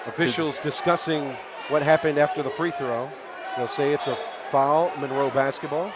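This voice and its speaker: A man's voice: a little high, moderate pace. A man doing live radio play-by-play of a basketball game, backing the home team and exclaiming on big plays. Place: high school basketball gym. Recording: loud crowd and bouncing balls in gym. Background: loud crowd noise, basketball bounces.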